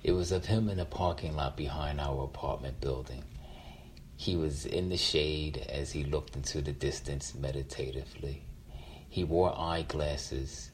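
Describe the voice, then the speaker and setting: A man's voice: rumbling low, unhurried, slight background noise. A middle-aged man telling a personal story reflectively, drawing out phrases for dramatic emphasis. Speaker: featured storyteller.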